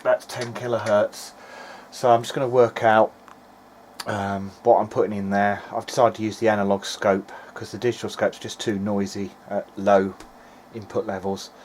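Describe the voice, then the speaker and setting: Man, lowish voice, hobbyist repairer, informal commentary, hesitant, thinking aloud, faint background noise. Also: little pitch movement, normal speed, a little mellow. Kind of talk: informal video diary narration while testing. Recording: clearly noisy amateur recording.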